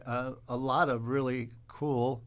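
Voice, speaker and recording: A man speaking as an interview guest, telling casual stories in a halting, rambling way. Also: mid-range voice, flat delivery, fairly bright tone, smooth. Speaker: guest, actor.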